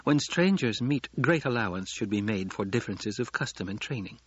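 A man voicing a shrewd, sweetly courteous noblewoman in an audiobook, with measured and formal speech. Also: lowish voice, animated, breathy edge, no room sound, dull audio. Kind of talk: audiobook character acting.

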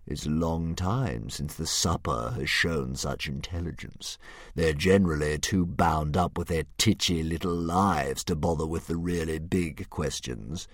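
A man voicing a huge, vain male dragon who talks like a cheerfully menacing philosopher, slow and condescending. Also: a bass voice, no room sound, studio quality, pitched below the performer's own voice, exaggerated voice. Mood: musing. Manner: slow, drawn-out, grandly condescending. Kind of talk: audiobook character voice.

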